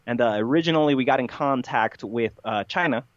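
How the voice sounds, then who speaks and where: A man speaking as a podcast guest, telling a rambling story in a matter-of-fact, halting way with repeated words. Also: medium pitch, dull audio.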